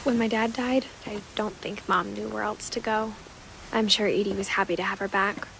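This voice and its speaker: A young woman playing a reflective girl who narrates her family's sad history in a story game, quiet and measured. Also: medium pitch, steady tone, low-quality recording. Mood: reflective, subdued. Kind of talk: scripted first-person game narration. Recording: clear background noise with clicks.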